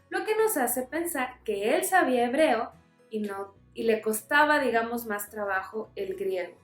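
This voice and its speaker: A woman's voice: sits high, roomy sound. A woman teaching a Bible lesson in a friendly way, explaining with everyday examples. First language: Spanish.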